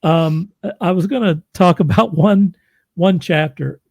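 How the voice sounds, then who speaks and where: A man with a gravelly voice, a podcast host and audiobook narrator, chatting casually and restarting his sentences as he goes. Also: high voice, a little mellow, no echo. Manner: halting, restarts mid-sentence, chuckles. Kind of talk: casual interview chat.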